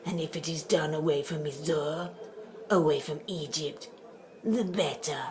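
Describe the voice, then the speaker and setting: An actress playing a solemn, cryptic woman in an audio drama, speaking gravely and hushed. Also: sits low, unhurried, slight background noise. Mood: grave warning.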